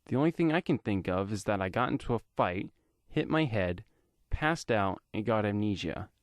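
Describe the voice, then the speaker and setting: Low-voiced man narrating, very dry sound. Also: expressive, moderate pace, no room sound. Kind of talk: first-person scripted story narration.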